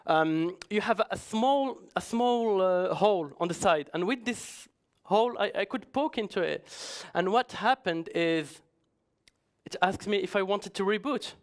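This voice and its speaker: A man's voice: sits high, expressive, measured pace, clean tone. A man giving a conference talk in a chatty, joking way, often hesitating and restarting. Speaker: conference speaker.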